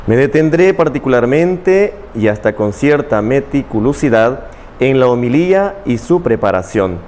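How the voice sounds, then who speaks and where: Measured Salvadoran priest explaining calmly. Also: male voice, mid-range voice, slight background noise. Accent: Salvadoran Spanish. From El Salvador, Chalatenango. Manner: measured, explanatory, often ends with "¿verdad?". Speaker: Claretian missionary priest.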